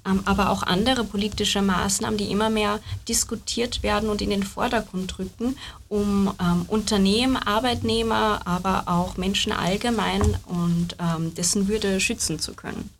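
A woman's voice: medium pitch, fairly even intonation, moderate pace, a little hiss or hum. A woman explaining in a formal, structured way as a guest presenting research.

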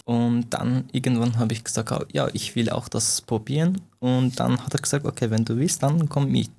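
A man's voice: mid-range voice, fairly even intonation, moderate pace, vocal fry, dark tone. A young man who grew up in Iran, speaking German as an in an interview, hesitant and full of fillers and restarts. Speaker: guest, martial arts coach.

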